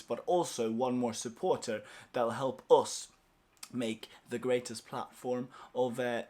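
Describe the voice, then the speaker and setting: A man's voice: medium pitch, a little mellow. A young man whose first language is Swedish, giving viewers a casual, upbeat update from his small office, chatty and grateful with plenty of fillers. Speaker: language course founder.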